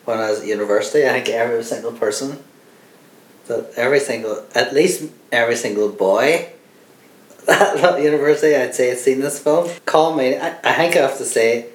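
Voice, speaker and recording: Irish man, young, rambling, halting, echoey room. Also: mid-range voice. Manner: rambling, halting, lots of fillers. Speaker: podcast co-host. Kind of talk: casual film chat.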